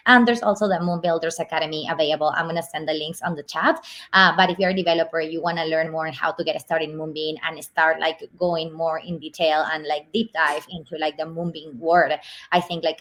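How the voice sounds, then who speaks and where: A woman's voice: low-pitched, steady tone, fairly quick, a bit bright. A woman casually hosting an online community event over a video call, moderating and passing on information.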